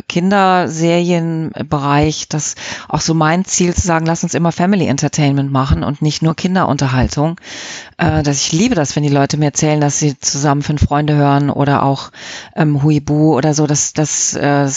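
A woman's voice: low voice, no room sound, highs cut off. A woman speaking casually and enthusiastically as an interview guest, with emphatic phrasing. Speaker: interview guest, audio drama editor.

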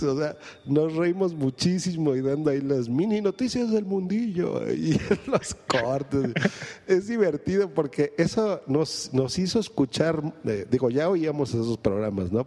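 A man's voice: mid-range voice, dark tone. A man hosting a podcast and chatting casually with a guest. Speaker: podcast host. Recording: long echoing reverb.

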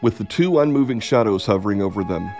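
A man's voice: lowish voice, expressive, fast talker. A man narrating a radio drama from a script. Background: music.

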